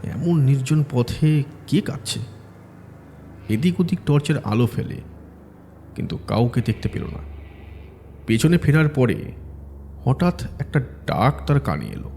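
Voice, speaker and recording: A man's voice: medium pitch, sing-song, a little slow, vocal fry, long pauses, mellow, big-room sound, a little hiss or hum. A man narrating a horror audio story in a dramatic, suspenseful way.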